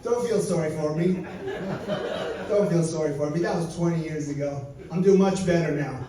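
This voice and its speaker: Man, forties, stand-up comedian, dry wry delivery, high-pitched, heavy room echo. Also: moderate pace, distant mic, a crowd in the background. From Turkey. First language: Turkish. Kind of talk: stand-up set. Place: comedy lounge stage. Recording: echoey lounge, mic from afar.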